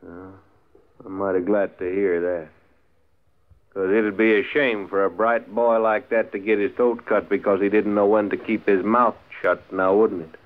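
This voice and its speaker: A man's voice: on the low side, steady tone, normal speed, lightly acted. An actor playing a coolly cruel frontier gunman in a 1950s radio western, drawling mockingly polite threats with an American accent. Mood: veiled threat. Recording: muffled, phone-like 1950s radio recording.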